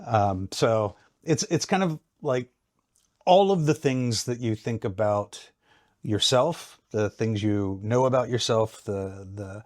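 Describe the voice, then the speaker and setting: American man explaining with measured hesitation. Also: fairly low pitch, lively intonation. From Ohio, United States. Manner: measured, hesitant with frequent ums and restarts. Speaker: psychology lecturer, guest. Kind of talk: explanatory academic talk.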